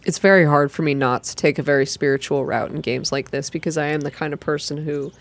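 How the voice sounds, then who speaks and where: A woman reading a story game aloud in ASMR style, slow and gentle, with casual asides. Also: deep voice, fairly even intonation. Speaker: game streamer reading a visual novel aloud.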